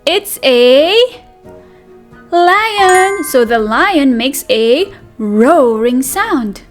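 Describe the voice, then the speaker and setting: A woman's voice: high-pitched, expressive, very slow, soft background music. A woman reading aloud as a preschool teacher, addressing small children in a sing-song manner with questions and repetition. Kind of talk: interactive children's read-aloud lesson.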